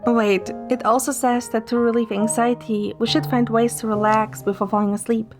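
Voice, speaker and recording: A woman playing a playful, caring young woman who narrates a romantic story in the first person, in a gentle, soft manner. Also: on the high side, natural voice. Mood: light, playful. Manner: gentle, soft, sometimes whispering.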